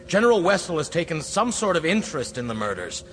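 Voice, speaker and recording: An actor playing a nervous, by-the-book junior military officer in an audio drama, hesitant and deferential. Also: male voice, fairly high pitch, sing-song, fast talker, fairly bright tone, in a large hall, slight background noise.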